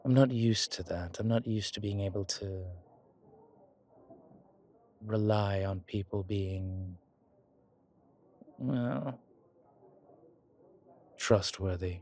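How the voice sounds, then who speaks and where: A man playing an old, vain, genteel vampire in an intimate audio drama, speaking formally and theatrically with hesitant pauses. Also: on the low side, darkish tone, slight background noise. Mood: grateful, wry.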